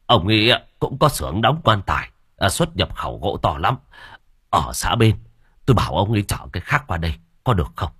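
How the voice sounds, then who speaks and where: A man voicing an older coffin maker who hesitates and tries to calm his angry neighbor. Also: sits low, brisk, slightly rough, dry recording, studio quality, heavily acted. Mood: placating. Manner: hesitant, many "ờ" fillers.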